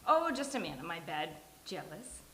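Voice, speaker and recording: A woman's voice: on the low side, sing-song, normal speed, a bit bright. Woman playing neurotic sitcom woman, dry teasing delivery, echoey room, faint hiss. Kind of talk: live sitcom table read. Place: live venue with studio audience. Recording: roomy live venue, faint hiss.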